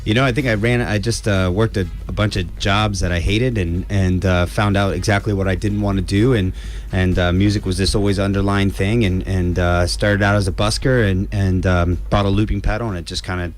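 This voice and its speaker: A man from Ohio, a musician guest, answering interview questions in a laid-back, halting way full of fillers. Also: low voice, fairly even intonation. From Ohio, USA. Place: radio studio. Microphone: studio microphone.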